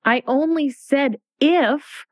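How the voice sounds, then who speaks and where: A woman's voice: medium pitch, very animated, no room sound, studio quality. A woman voicing a polite but argumentative young girl in a storybook reading. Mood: earnest. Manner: earnest, quick when arguing.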